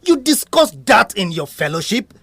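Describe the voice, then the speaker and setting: Woman acting brash Nigerian organiser, very animated. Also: medium pitch, normal speed, slightly rough, dry recording, full character voice. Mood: scornful. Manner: forceful, mocking. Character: brash, money-minded, mocking pageant organiser. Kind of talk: audio drama acting.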